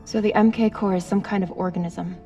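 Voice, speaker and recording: An actress as an earnest female crewmate in a sci-fi game drama. Her middle-pitched voice is direct and urgent, probing and persuasive like an idealist pressing a case, with faint music behind. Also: steady tone, a little fast, warm tone, dull audio, close to an everyday voice. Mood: probing, concerned. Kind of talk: dramatic video game acting.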